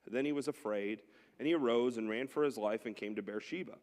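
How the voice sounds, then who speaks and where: A man's voice: mid-range voice, brisk, a little mellow. A man leading a church Bible class with prayer and scripture reading, speaking in a conversational, unpolished way. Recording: long hall reverb.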